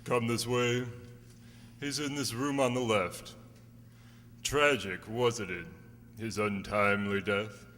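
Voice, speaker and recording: Man acting a slow, folksy undertaker. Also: medium pitch, steady tone, fairly bright tone. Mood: somber, chatty. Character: slow, folksy, somber male funeral undertaker. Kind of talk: amateur radio drama acting. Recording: reverberant hall, faint noise and hum.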